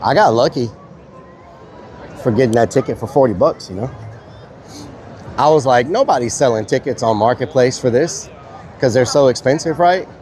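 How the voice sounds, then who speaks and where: A man's voice: medium pitch, animated, moderate pace, long pauses, a little mellow, a faint crowd, slight background noise. A congested man chatting casually to his live-stream viewers as he walks around a busy comic convention, carrying a handheld streaming camera. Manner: rambling, chatty, talks to viewers. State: congested, stuffy-nosed.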